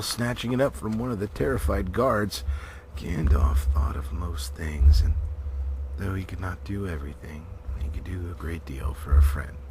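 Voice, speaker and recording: Low-voiced man dramatically narrating a fantasy story. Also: creaky. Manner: theatrical, emphatic, with varying volume. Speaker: amateur audiobook reader. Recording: noticeable background noise.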